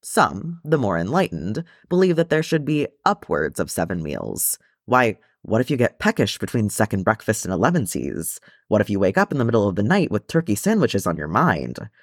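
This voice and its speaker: A man's voice: lowish voice, huge pitch swings, normal speed, few pauses, no room sound, studio quality, full character voice. A man voicing a cheerful, food-loving young male hobbit in a storybook, chattering eagerly and self-importantly. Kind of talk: storybook character reading.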